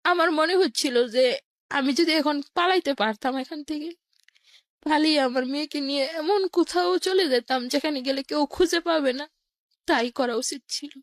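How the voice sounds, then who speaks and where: Higher-pitched woman telling her life story. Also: moderate pace, no echo, studio quality. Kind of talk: personal first-person storytelling in an interview.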